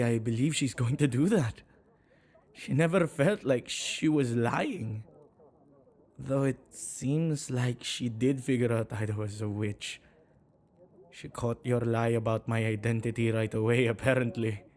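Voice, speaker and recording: A man playing a flustered, self-neglecting male witch in an ASMR audio roleplay, speaking intimately and haltingly to the listener. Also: mid-range voice, a little mellow, lightly acted. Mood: uneasy, awed. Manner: hesitant, halting, with sighs and murmured asides.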